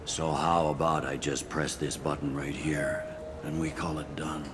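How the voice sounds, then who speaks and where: A man's voice: rumbling low, flat delivery, a little slow. A man playing a wry, pragmatic police captain on a space station in a video game. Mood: wry, helpful. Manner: wry, blunt, matter-of-fact. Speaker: security captain character. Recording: clearly audible ambient noise.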